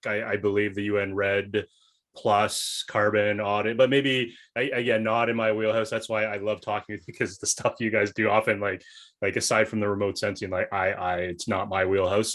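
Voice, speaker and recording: A man's voice: lowish voice, little pitch movement, fairly bright tone. A man hosting a podcast interview, chatty and enthusiastic as he asks questions. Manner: enthusiastic, chatty, quick to react. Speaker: podcast host.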